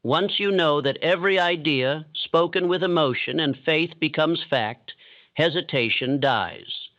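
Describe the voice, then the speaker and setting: A man reading a scripted motivational sleep audiobook in a calm, steady, solemn manner. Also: a little high, a little slow, no echo, highs cut off. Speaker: audiobook narrator.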